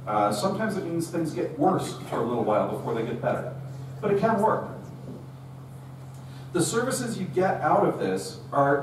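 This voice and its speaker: A middle-aged man speaks to a live meetup audience in a relaxed, storytelling manner. His voice sounds distant and echoes heavily around the room. A faint hum sits underneath. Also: mid-range voice. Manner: conversational storytelling, acts out quoted dialogue. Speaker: conference speaker. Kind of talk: live technical talk. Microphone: room recording from far camera.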